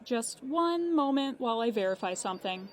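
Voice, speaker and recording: An actress playing a polite, unflappable insurance claims agent in an audio drama, precise and businesslike. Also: female voice, on the high side, expressive, normal speed, darkish tone, a little hiss or hum, natural voice. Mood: matter-of-fact. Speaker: claims agent on a phone call.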